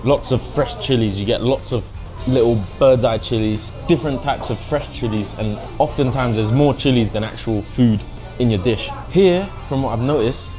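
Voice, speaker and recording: A man's voice: medium pitch, moderate pace, over music. A young man with an English accent, chatting casually through a food vlog, cheeky and mixing in Mandarin phrases. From England. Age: young adult. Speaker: food vlogger. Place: hotpot restaurant.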